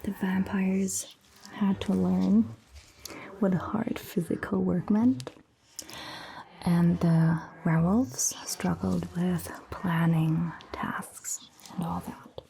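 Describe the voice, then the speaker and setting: A woman playing a calm, attentive vampire doctor in a soft, breathy ASMR roleplay whisper, with measured, gentle phrasing. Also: lowish voice, low-quality recording. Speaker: ASMR performer.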